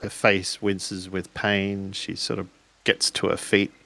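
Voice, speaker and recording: A man improvising descriptive narration as a player in a tabletop game, measured and pausing between phrases. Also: low voice, level tone, creaky, bright, forceful tone.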